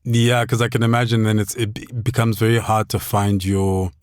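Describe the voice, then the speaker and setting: A man's voice: fairly low pitch, level tone, moderate pace, smooth, dry recording, clean studio sound. A man in his forties, a podcast host from Southern Africa, asks reflective questions in a thoughtful, hesitant way and often restarts his sentences.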